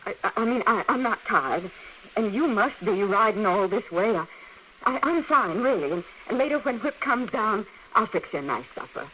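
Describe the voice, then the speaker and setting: A woman playing a frightened, evasive frontier wife with a halting, nervous delivery in an old radio western drama. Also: mid-range voice, expressive, pushed and bright. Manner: halting, nervous, breaking off and repeating. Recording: noisy vintage radio recording.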